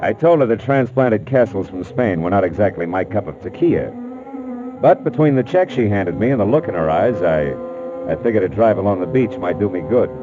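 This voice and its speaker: An actor playing a wry, skeptical private detective in 1940s radio drama style, deadpan and wisecracking, with first-person noir narration. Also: male voice, fairly low pitch, a little fast, lightly acted. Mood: wry narrating. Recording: muffled, narrowband old radio recording.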